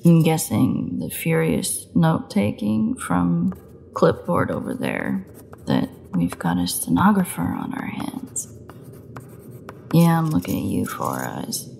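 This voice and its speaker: A very gravelly, crackling female vampire played by a woman, locked up and starving yet cocky and menacing. She mocks her jailers in a sardonic, unhurried drawl that swings from high lilts to low drops, as in a dark audio drama. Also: huge pitch swings, heavily acted. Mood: predatory teasing. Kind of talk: solo audio roleplay acting.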